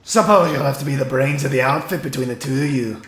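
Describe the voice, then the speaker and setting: A man plays a blunt, sardonic vampire hunter for a fantasy audio drama. The voice is bright and gravelly, in an echoey space with faint noise. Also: medium pitch, fast talker, lightly acted. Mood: sarcastic. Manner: blunt, sarcastic. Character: gruff, sardonic human vampire-hunting soldier. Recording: echoey, slightly noisy.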